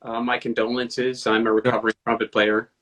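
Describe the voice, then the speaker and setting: A man's voice: mid-range voice, flat delivery, brisk, a bit bright. A man hosting an interview over a video call, enthusiastic and joking at his own expense. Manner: enthusiastic, self-deprecating humor. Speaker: interview host. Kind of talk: casual interview chat.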